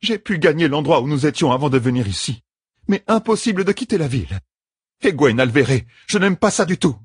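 Guy doing a wary wise woman, raised husky voice, resolute, fantasy audiobook. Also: fairly high pitch, sing-song, a little fast, dry recording, studio quality, pitched above the performer's own voice. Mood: uneasy.

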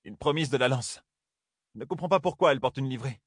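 A man voicing a poised, calculating noblewoman who speaks softly and with composure. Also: medium pitch, fast, no echo, clean studio sound, pitched above the performer's own voice, natural voice. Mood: composed.

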